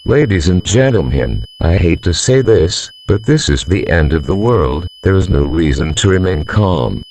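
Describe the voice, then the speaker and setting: A man performs a solemn presidential representative in a doomsday broadcast. The voice is very low and creaky, with formal phrasing that swings noticeably in pitch. A faint hum sits behind the announcement. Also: darkish tone. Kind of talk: scripted emergency alert announcement. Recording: humming, faintly noisy audio.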